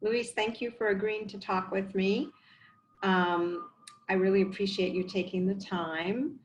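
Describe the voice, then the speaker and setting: A woman's voice: medium pitch, steady tone, a little slow, muffled recording, slight background noise. A woman in her forties hosting a casual work interview from a home office over a headset, friendly and encouraging. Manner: friendly, encouraging, short affirmations. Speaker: host.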